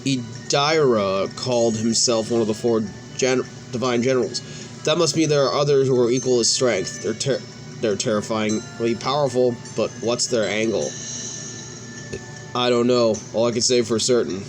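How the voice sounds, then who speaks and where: A man's voice: mid-range voice, normal speed. A man hosting a let's play, reading game cutscene dialogue aloud and sometimes stumbling and restarting words as he goes. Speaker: gaming video host. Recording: background music behind the voice. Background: music.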